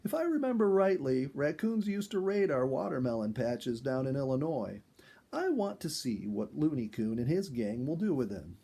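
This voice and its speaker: Guy doing a folksy nature-loving husband in a storybook, good humored, swingy husky voice. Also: mid-range voice, big pitch swings, normal speed, mellow, exaggerated voice. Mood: wry, curious. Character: good-humored outdoorsman husband, fond of wild animals.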